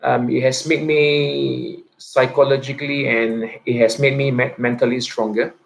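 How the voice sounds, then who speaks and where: A man in his thirties, a teacher, giving a personal reflective talk, speaking haltingly with a stutter and frequent repeats and restarts. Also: medium pitch, level tone, vocal fry. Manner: soft-spoken and introverted.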